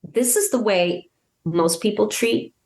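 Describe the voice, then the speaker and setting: A woman talking casually as an interview guest and telling anecdotes, emphatic and halting, often repeating words as she goes. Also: medium pitch, darkish tone. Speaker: podcast guest, audio drama creator and voice actor.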